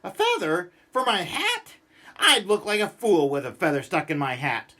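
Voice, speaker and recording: A man voicing a cranky, stubborn, exasperated man who grumbles and rants in an animated storybook read-aloud. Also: high voice, moderate pace, exaggerated voice. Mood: grumpy complaining. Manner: exasperated, ranting, emphatic.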